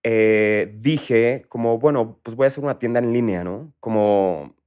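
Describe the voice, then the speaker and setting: A man in his thirties from Mexico with a Mexico City accent, a guest in a casual interview, talking in a relaxed, rambling way with many fillers. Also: medium pitch, measured pace, smooth. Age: 30s. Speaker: guest entrepreneur.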